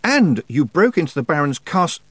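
A man's voice: fairly high pitch, huge pitch swings, moderate pace, dry recording, pitched above the performer's own voice. A man voicing an indignant, stubborn twelve-year-old witch girl, earnest and protesting.

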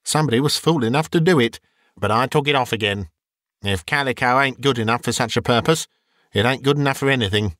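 A man voicing a coarse, greedy old charwoman who mocks the dead in brash, quick bursts. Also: mid-range voice, fairly bright tone, dry recording, studio quality, lightly acted. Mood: scornful.

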